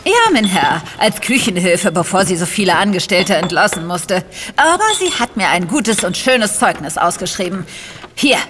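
Lively, low-voiced innkeeper's wife. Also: female voice, moderate pace, music in the background. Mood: obliging. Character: deferential innkeeper's wife, former kitchen maid. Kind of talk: German audio drama acting. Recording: reverberant room, clear noise, distant.

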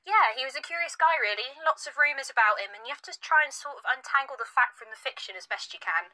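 An actress playing an eager folklore enthusiast talking on a call. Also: female voice, high-pitched, expressive, fast, bright, forceful tone, heavily acted.

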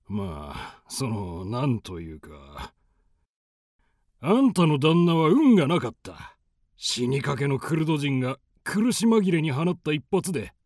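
An actor playing a domineering nomad raider chieftain in a radio drama, with rough, commanding, coarse speech. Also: male voice, medium pitch, darkish tone, no room sound, studio quality.

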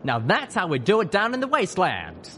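A man's voice: high-pitched, huge pitch swings, a little fast, a bit bright, slight background noise, pitched above the performer's own voice, heavily acted. A man voicing a brash, folksy pegasus pony fighter with a drawl in an audiobook. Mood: exuberant.

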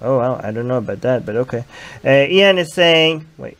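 A man's voice: mid-range voice, expressive, moderate pace, a bit bright. A young man hosting a livestream in a small room, reading viewer comments aloud into a headset mic and arguing his points in casual chat. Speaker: livestream host.

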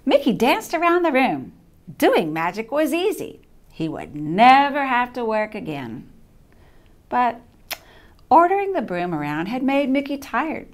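An older woman reading a children's bedtime story aloud in an expressive, deliberate way, with dramatic emphasis. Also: fairly high pitch. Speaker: storybook reader, childcare coordinator. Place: small indoor set with curtain backdrop.

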